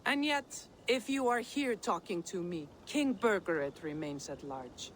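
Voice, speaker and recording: Sly, slow-talking mercenary woman. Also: on the low side, lively intonation, slight background noise. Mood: teasing. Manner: teasing, drawling, theatrical. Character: sly, brazen, mercenary female camp leader. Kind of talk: dramatic video game acting.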